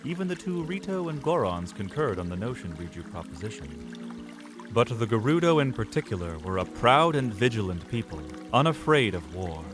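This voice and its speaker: A man's voice: on the low side, very animated, normal speed. A man narrating a fantasy audio drama in a scripted, dramatic storytelling style. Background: music under the voice.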